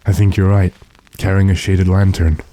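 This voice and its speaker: A man playing a stern, determined gentleman in a radio drama, speaking in a commanding, impatient way. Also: deep voice, level tone, moderate pace, no echo, lightly acted, low-quality recording. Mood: intent, commanding. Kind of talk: classic radio drama acting.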